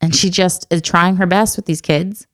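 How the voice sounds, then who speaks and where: A woman in her thirties with a low, smooth voice speaks earnestly and reflectively. Her tone is soft-spoken and candid. The sound is dry and close. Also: fairly even intonation, normal speed, no echo. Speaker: adoptive mother and guest. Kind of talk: candid conversational chat.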